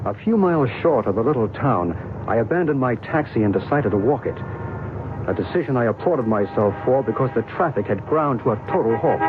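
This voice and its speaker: Guy acting a mysterious all-knowing american in an old radio play, assured, lively melody, tinny recording. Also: on the high side, lightly acted. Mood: narrating. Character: calm, knowing, enigmatic American UFO believer and narrator. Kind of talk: old-time radio drama acting and narration.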